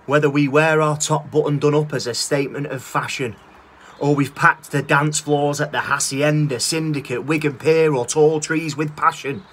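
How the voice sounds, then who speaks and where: A man's voice: a little high, fairly even intonation, moderate pace, a bit bright, a little hiss or hum. A man in his thirties with a Northern English accent, reciting his own spoken word poem in a rhythmic, cheeky style, filmed outdoors on a phone held at arm's length. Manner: rhythmic rhyming delivery, cheeky and swearing. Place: outdoors by a brick wall.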